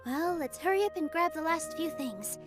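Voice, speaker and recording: A woman playing a gentle, modest young female theater dancer in an anime-style video game, speaking politely and earnestly. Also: very high-pitched, animated, moderate pace. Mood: humble, apologetic. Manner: soft-hearted, polite, earnest. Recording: music behind game dialogue.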